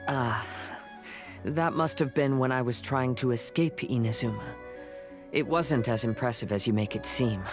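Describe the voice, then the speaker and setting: A man voicing a modest, courteous young wandering samurai with calm delivery in an English game dub. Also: mid-range voice, normal speed, natural voice. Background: music.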